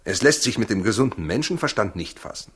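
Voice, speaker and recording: An actor in a 1960s German radio play as a proud working husband torn by doubt, husky, weary and defensive. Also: male voice, fairly low pitch, lively intonation, fairly quick. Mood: troubled, agitated.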